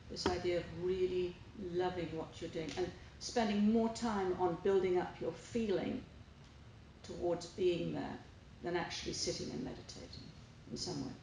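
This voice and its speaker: A woman's voice: lowish voice, vocal fry. Woman in a very echoey, noisy room. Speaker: meditation group participant. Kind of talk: personal sharing in a group discussion.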